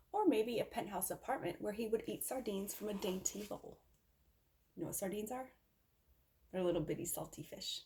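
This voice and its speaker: Female storybook reader, mid-range pitch, expressive read-aloud delivery for young children.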